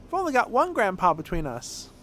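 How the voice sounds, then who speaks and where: A man's voice: sits high, wildly swooping pitch, fairly quick, a bit bright, slight background noise, pitched above the performer's own voice, exaggerated voice. A man voicing a blunt, stubborn, playful child in a video game, dismissive and defiant. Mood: fed up.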